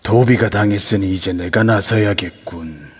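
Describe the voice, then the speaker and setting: A haughty ghost master , played by a man, talks down in a lowish, husky voice. He sounds lordly yet protective of his servant. A long reverb trails each line. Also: moderate pace. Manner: haughty, paternal.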